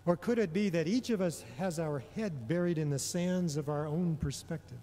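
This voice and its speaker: A middle-aged man delivering a prepared homily in a measured, deliberate way, pausing often between phrases. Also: on the high side, dark tone. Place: large indoor church or hall. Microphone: gooseneck microphone on a lectern. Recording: long reverberation of a large hall.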